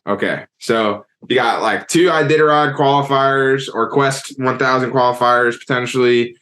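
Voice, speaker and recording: A man's voice: medium pitch, moderate pace. Easygoing man, chatty and loose. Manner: loose and excited, lots of likes. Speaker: podcast host. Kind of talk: casual podcast conversation.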